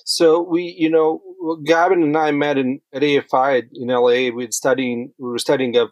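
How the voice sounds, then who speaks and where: A man's voice: mid-range voice, level tone, moderate pace, no room sound, poor audio. A man from rural Bosnia telling his story as a podcast guest, reflective and feeling his way through sentences. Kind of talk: conversational interview storytelling.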